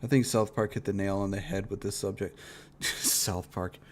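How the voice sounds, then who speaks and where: A man's voice: lowish voice, flat delivery, fast, darkish tone. Blunt, profane male streamer. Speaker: streamer reacting to videos. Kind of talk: casual, opinionated reaction commentary. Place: livestream reaction recording.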